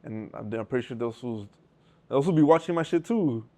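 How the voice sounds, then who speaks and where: Young man, interview guest, rapid rambling delivery, heavy slang and profanity. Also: mid-range voice, animated, warm tone. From Southern California. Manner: rambling, profane, street slang, restarts often. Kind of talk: casual storytelling with heavy slang.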